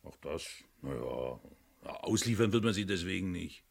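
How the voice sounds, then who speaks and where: An actor playing a breezy, roguish sidekick in a radio crime drama, chatty and cajoling. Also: male voice, low-pitched, lively intonation, moderate pace, vocal fry, exaggerated voice. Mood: reassuring. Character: breezy, roguish male sidekick and treasure hunter.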